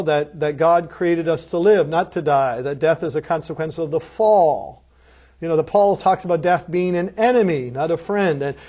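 A man with a fairly high voice explains preaching to students. His tone is earnest and instructive. He keeps turning to the listener with rhetorical questions. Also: mellow. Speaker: seminary lecturer. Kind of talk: lecture on preaching.

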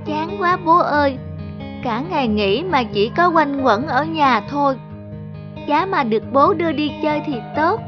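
Woman as little cartoon girl, very high voice, sweet, earnest, music behind. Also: animated, unhurried, highs cut off. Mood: wistful, eager. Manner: childlike, earnest. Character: sweet, earnest little girl, eager to please her father. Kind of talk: acted cartoon dialogue.

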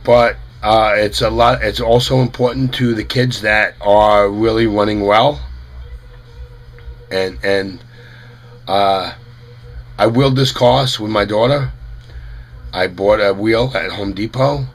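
Man, middle-aged, hoarse from a cold, low-pitched, halting with fillers, small room, webcam close up. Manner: earnest, halting, with many fillers. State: head cold, losing his voice. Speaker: parent of a runner. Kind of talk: casual to-camera statement.

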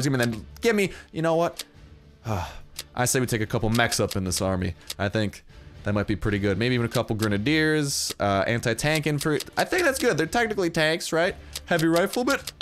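A young man giving casual, excitable commentary over his own gameplay, jumping into high yelps when the battle turns. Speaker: gaming YouTuber.

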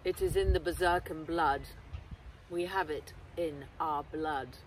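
A woman's voice: low voice, steady tone, measured pace, pitched below the performer's own voice. A woman voicing a boastful, commanding military general in a storybook, curt and emphatic. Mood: smug. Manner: curt, barking orders. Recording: distant mic, noisy outdoor ambience.

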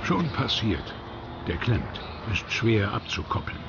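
A man reading scripted documentary narration in a lively voice over music. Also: on the low side, slow pace, creaky, highs cut off, very noisy.

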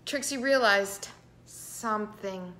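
A middle-aged woman reading a picture book aloud to children with expressive, dramatic emphasis. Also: medium pitch, takes it slowly, a little hiss or hum. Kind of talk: animated children's storybook read-aloud. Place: indoor living room with piano.

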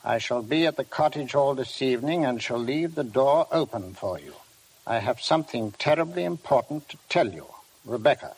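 An actor playing a dry, sober colonel who heads the police, in a 1950s radio drama. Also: male voice, medium pitch, expressive, normal speed, darkish tone, breathy edge, low-quality recording. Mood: grave, dry.

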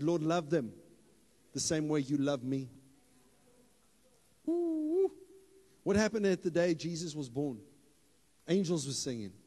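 A man, preaching a lively sermon on a church stage into a handheld mic, playful and building to loud emphatic bursts. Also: high-pitched. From South Africa. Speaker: pastor. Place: church hall stage with audience. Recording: hall reverb, live church PA.

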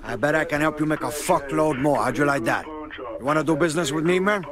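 A man's voice: a little high, a little fast, crowd noise, close to an everyday voice. A man playing a brash, menacing drug kingpin with a Cuban accent and a cocky, profane manner in a video game. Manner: cocky, profane, tough-talking. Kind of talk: video game cutscene acting. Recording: game music behind the voice.

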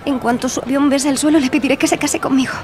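A woman playing an excitable, cheeky young friend in an audio drama. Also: high-pitched, racing, noisy background, lightly acted. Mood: smitten, excited. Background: outdoor fair crowd and music.

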